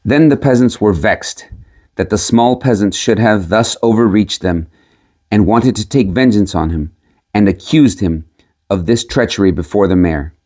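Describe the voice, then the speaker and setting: A man's voice: fairly low pitch, mellow. A man reading a storybook tale aloud as scripted narration. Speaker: audiobook reader.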